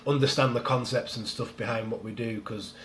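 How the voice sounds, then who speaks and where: Man, nasal head cold, rambling casual talk, mid pitch, faint background hiss. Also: brisk. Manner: relaxed, rambling, laughs easily. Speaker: strongman coach. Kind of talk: casual podcast chat.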